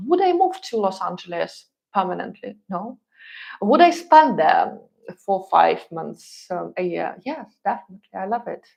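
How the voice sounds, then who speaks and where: A woman in her thirties whose first language is Russian hosts a live stream and answers viewers' questions. She talks at a middling pitch, chatty and loose, often pausing on fillers and restarting her sentences. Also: vocal fry, rough recording. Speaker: relocation consultant and host.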